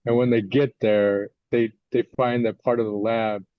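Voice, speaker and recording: An older American man answering interview questions as a guest author, conversational and a little halting as he thinks his answers through. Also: mid-range voice, little pitch movement, no room sound. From United States, California. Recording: dull lo-fi audio.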